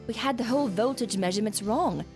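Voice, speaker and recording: A woman's voice: fairly high pitch, fast talker, music in the background, close to an everyday voice. An actress playing an earnest, exacting woman scientist in a gothic audio drama, speaking formally and precisely. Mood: intent, explaining. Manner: formal, precise, lecturing diction.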